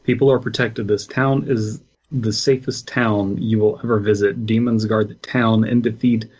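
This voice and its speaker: A man's voice: on the low side, fairly even intonation, moderate pace, a little mellow, breathy edge. A man co-hosting a podcast, reading aloud casually with wry commentary, on a slightly dull microphone. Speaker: podcast co-host.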